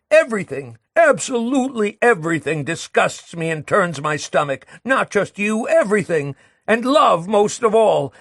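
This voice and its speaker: A man voicing a bitter, disgusted man who rants against love, shouting and scornful. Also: sits high, no echo, clean studio sound.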